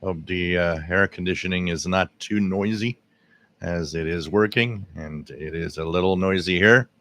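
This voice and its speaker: A man from Quebec, Canada, hosting a casual live radio-hobby stream with a scratchy, hoarse voice, rambling with lots of fillers, recorded on a directional mic at home. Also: deep voice, normal speed, fairly bright tone. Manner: rambling, many fillers and restarts. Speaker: radio hobby livestream host. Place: home radio room with air conditioning running. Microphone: directional microphone.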